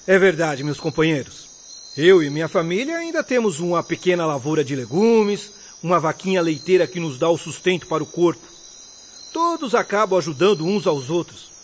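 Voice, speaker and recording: Man playing a high-voiced, humble, devout farmer. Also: sing-song, moderate pace, a little hiss or hum. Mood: earnest. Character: humble, devout, good-humored poor male farmer. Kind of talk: Brazilian radio drama acting. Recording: dull lo-fi audio.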